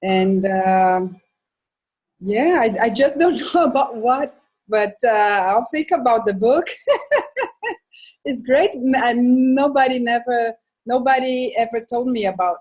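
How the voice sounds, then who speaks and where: A woman's voice: fairly high pitch, takes it slowly. A woman from Brazil with a Brazilian accent, Portuguese as her first language, speaking hesitantly on a video call. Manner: hesitant, soft-spoken. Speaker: participant. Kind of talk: casual chat on a video call.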